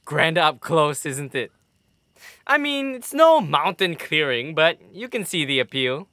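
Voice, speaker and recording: A man's voice: on the high side, big pitch swings, unhurried, bright, forceful tone, no echo, clean studio sound, exaggerated voice. A man playing a sardonic, insolent bandit guardsman in an audio drama, with colloquial sarcasm. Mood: smug, mocking.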